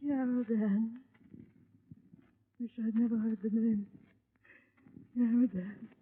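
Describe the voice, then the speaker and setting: A woman's voice: on the high side, steady tone, takes it slowly, phone-quality sound, slight background noise. A woman playing a brassy, overwrought wife in a 1950 radio melodrama, blunt in manner, on an old recording. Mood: distraught, snappish. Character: brassy, shrill wife turned distraught widow. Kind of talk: 1950s radio melodrama acting.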